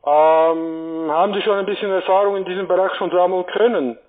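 A man's voice: high voice, fairly even intonation, a little fast. A man giving a formal, courteous sales explanation over the phone as a call centre agent. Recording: tinny narrow phone-line sound.

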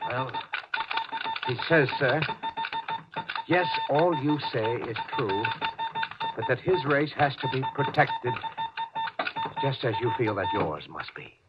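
Deferential young-rank ship's officer, lively pitch. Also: male voice, slow pace, pauses often. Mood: earnest. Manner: deferential, formal. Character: dutiful male junior ship's officer relaying messages. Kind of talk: 1950s radio drama acting. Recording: dull 1950s radio recording, music behind.

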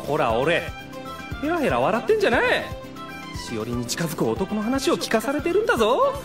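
An actor playing a flustered, lovesick high school boy who stammers and mutters to himself in a Japanese audio drama. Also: huge pitch swings, a little fast. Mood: brooding. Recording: music under the voice.

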